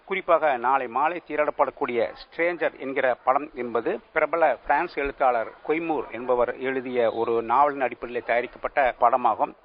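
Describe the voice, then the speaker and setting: A man, a film society secretary, explaining an upcoming film festival to reporters. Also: medium pitch. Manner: explanatory, plain speech. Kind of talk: press statement in the field. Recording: lo-fi field clip with faint noise.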